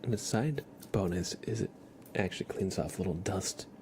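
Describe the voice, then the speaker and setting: A soft-spoken man hosting an ASMR livestream, gently chatting with viewers and often slipping into a whisper, close on the mic. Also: low voice, moderate pace, heavy background noise. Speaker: livestream host. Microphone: close ASMR microphone.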